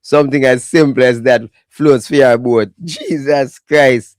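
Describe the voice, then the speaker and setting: A man's voice: mid-range voice, measured pace, no room sound, clean studio sound. Emphatic Jamaican man commentating. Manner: emphatic, animated patois talk. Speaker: dancehall news commentator. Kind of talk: casual commentary.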